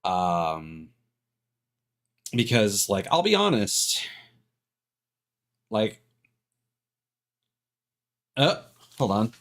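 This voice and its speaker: A man's voice: on the low side, huge pitch swings, drawn-out words, long pauses, fairly bright tone. A man giving casual, rambling and candid live-stream commentary as a game streamer, with a dryly critical manner.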